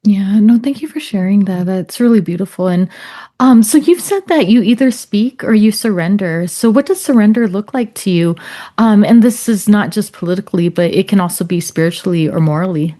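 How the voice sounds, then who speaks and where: Woman host, attentive and encouraging, warm mellow tone. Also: medium pitch, animated, fairly quick. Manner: attentive, affirming. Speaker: interview host. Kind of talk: interview questions and backchannel.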